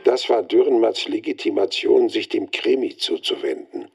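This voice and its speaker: An actor playing a world-weary radio veteran looking back in a wry, grumbling monologue for a radio play. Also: male voice, on the low side, moderate pace, gravelly, a little mellow, breathy edge.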